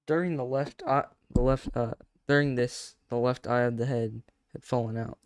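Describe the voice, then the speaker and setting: A man's voice: medium pitch, steady tone, normal speed, slight background noise. A man reading a horror story aloud in a casual, stumbling way, breaking off to react. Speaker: video creator reacting and narrating.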